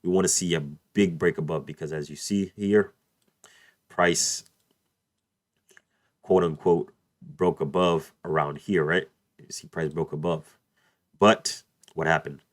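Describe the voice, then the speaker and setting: Male trading tutor, very deep voice, slightly off after a cold, casual screen-share teaching. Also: steady tone, unhurried, long pauses, no echo. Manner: repeats "beautiful" and "let's play this out", addresses "you guys". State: just over a cold, voice a bit off. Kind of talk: screen-share chart walkthrough.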